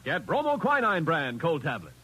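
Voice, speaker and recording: High-pitched, animated radio pitchman. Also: normal speed, soft background music. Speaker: commercial announcer. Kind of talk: 1950s radio advertising pitch. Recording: noisy vintage radio broadcast recording.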